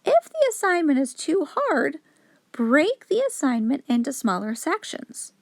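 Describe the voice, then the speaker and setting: A woman reading a children's picture book aloud expressively, in a clear, instructive storytelling manner for young children. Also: sits high, big pitch swings, unhurried, dry recording, clean studio sound. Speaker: children's story reader, host.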